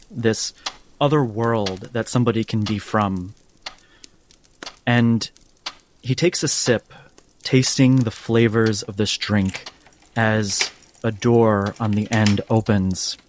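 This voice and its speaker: A man runs a tabletop game with dramatic narration. His voice is on the low side and very slow, broken by deliberate pauses. Music plays clearly underneath. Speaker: game master. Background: background music.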